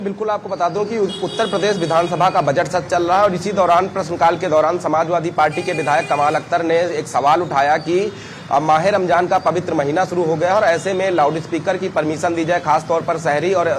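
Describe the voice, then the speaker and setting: A man with a North Indian accent giving a live news report in a halting, repetitive explanatory way. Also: fairly high pitch. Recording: faint background noise on live link.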